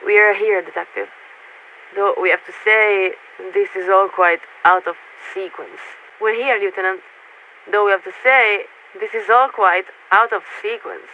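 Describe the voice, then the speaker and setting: A poised warship radio officer from a video game, polite and bureaucratic to the core. The delivery is measured and formal, coming through a thin, band-limited transmission with a light layer of noise. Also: female voice, mid-range voice, narrow, tinny audio. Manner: formal, measured, courteous, speaks as "we". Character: poised female military signaler aboard a coalition warship, politely bureaucratic. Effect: radio transmission filter. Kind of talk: scripted video game character dialogue.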